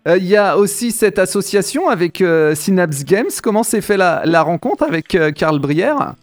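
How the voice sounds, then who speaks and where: A male interviewer asks short, direct questions and answers with quick acknowledgements. His voice sits high. Faint music plays behind him. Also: expressive.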